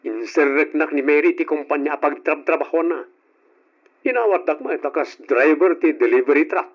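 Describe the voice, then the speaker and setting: An actor playing a hardworking young husband and elder brother in an old radio drama, who lectures and scolds. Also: male voice, a little high, moderate pace, close to an everyday voice. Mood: earnest. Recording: dull old radio recording.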